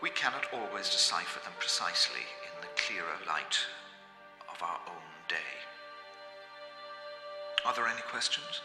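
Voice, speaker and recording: An actor playing a formal male academic lecturer with a measured, scholarly delivery in an audio drama. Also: low voice, expressive, over music. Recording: echoey hall with long reverb.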